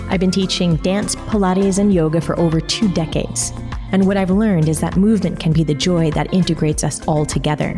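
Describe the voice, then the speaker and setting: A woman in her forties hosting a movement-teaching podcast, talking in a lively, upbeat way. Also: fairly low pitch. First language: English. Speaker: podcast host. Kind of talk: casual podcast conversation.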